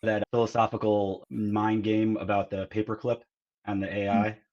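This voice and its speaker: A man's voice: lowish voice, flat delivery, normal speed, darkish tone. A man co-hosting a podcast in a casual, analytical discussion, on slightly dull, lo-fi audio. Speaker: podcast co-host.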